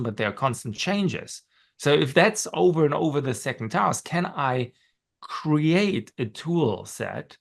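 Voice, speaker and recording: A middle-aged man with a German accent, speaking as a panelist and course instructor in a relaxed webinar discussion from his office. He explains his points at length, often checks in with a quick "right?" and laughs at himself now and then. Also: on the high side, gravelly. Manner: explanatory, with frequent "right?" tags and self-deprecating laughs. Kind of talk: conversational webinar talk. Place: home office.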